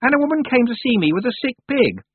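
Anagramma, a shrill, haughty young witch voiced by a man. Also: big pitch swings, quick pace, breathy edge, no echo, heavily acted. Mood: complaining. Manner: high-pitched, indignant, defensive. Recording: dry, tinny phone-like sound.

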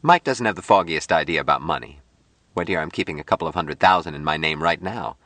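A man voicing a bright, affectionate, playful young woman in an audiobook story, with a gushing, emphatic manner full of endearments. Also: very deep voice, fast talker, no room sound, full character voice. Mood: amused, generous.

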